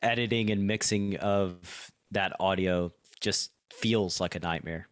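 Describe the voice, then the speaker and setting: Easygoing low-voiced male podcast host. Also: a little slow, clear, resonant tone, dry recording, clean studio sound. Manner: easygoing, laughs along. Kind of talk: casual podcast chat.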